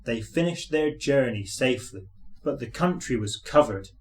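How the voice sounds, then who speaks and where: A man's voice: medium pitch, lively intonation, normal speed. A man narrating a scripted children's story as its reader.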